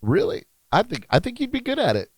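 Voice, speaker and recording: A man's voice: sits high, wildly swooping pitch, brisk, breathy edge, no echo. Upbeat laughing man hosting. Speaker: podcast host. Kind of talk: casual podcast interview.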